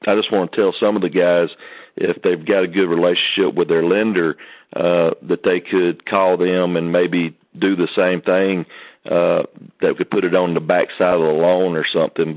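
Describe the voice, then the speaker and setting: A man with a low voice calls in over a thin, muffled phone connection. He is a truck driver, speaking off the cuff in an earnest, polite way and tending to ramble. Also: level tone, moderate pace. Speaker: truck driver calling in to a company conference call. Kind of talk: unscripted questions on a conference call. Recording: muffled telephone conference line.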